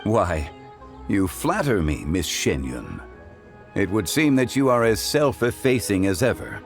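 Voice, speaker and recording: A composed ancient gentleman, lowish voice, lively intonation, formal and courteous, game character. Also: soft background music. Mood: calm. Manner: formal, measured, courteous and eloquent. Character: ancient, composed male consultant of refined taste. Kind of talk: video game voice acting.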